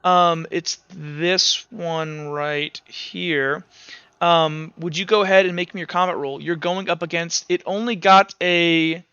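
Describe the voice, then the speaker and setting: A man with a bright, high voice runs a tabletop game as game master. He talks through rules and describes the action in a halting, self-correcting flow. The sound is dry, with the slightly dulled quality of an online voice chat. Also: steady tone, clear, resonant tone, dry recording, highs cut off. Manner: halting, repeats and restarts phrases. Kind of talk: improvised tabletop game narration and rules talk. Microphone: voice call over Discord.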